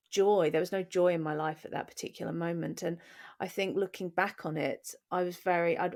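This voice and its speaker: English woman in her forties, podcast co-host, low gravelly voice, candid and reflective storytelling. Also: darkish tone. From England. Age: 40s. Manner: reflective, candid, restarting phrases. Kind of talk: personal storytelling in conversation.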